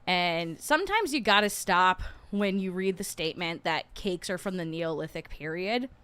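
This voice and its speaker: A woman's voice: on the low side, expressive, moderate pace, sharp tone, smooth, no echo. A woman hosting a livestream, chatting casually and informally with her viewers. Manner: informal, conversational. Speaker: livestream host.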